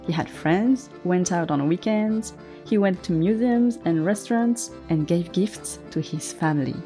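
A woman narrating a story in a gentle, measured, scripted way. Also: fairly low pitch. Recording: faint music underneath.

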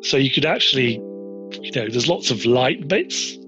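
Older british doctor dad, dry jokes, kinda muffled audio w music behind. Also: male voice, medium pitch, animated, normal speed. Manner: musing, searching for words, dry jokes. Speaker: doctor, host's father. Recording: slightly dull sound with music.

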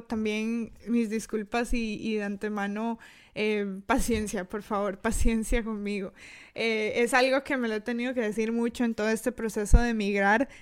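Young Costa Rican woman, podcast host, fairly high voice, reflective and self-deprecating, lots of "eh" and restarts. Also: smooth. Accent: Costa Rican Spanish. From Costa Rica. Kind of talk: casual solo monologue.

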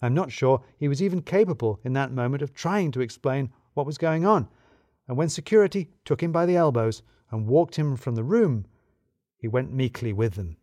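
A man reads a first-person audio story at a middle pitch. His telling is wry and expressive, and the recording is close and dry with almost no room sound. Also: normal speed, dry recording. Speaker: narrator.

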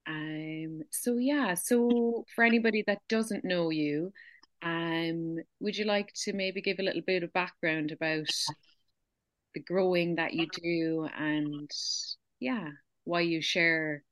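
A woman's voice: lowish voice, fairly even intonation, slow, dry recording, highs cut off. A woman hosting a podcast, chatting in a friendly, supportive way with her guest. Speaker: podcast host. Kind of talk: casual interview chat.

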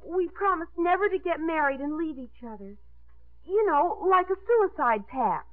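High-voiced, gushing lovestruck teenage girl. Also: lively intonation, normal speed, slight background noise. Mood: earnest. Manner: breathless, earnest, gushing. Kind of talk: 1950s radio comedy-drama acting. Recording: muffled narrow-band 1950s radio recording.

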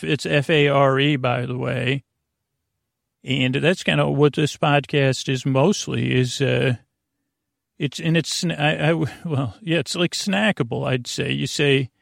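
A man hosting a bedtime podcast in a creaky voice, meandering and halting through soothing, rambling tangents. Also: a little high, no echo, clean studio sound. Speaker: podcast host.

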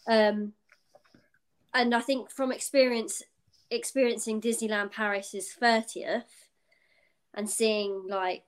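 A woman's voice: on the high side, steady tone, slow pace, long pauses. Chatty young British woman. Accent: British English. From United Kingdom. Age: 20s. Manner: chatty, rambling, many fillers. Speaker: podcast co-host. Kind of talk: casual chat.

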